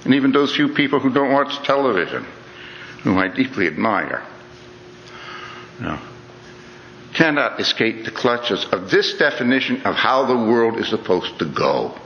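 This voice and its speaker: A man's voice: medium pitch, big pitch swings, normal speed, long pauses, slight background noise. A middle-aged man giving an earnest, conversational talk, often saying "you know" and restarting his phrases. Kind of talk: reflective lecture to an audience. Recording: dull lo-fi recording in a reverberant hall.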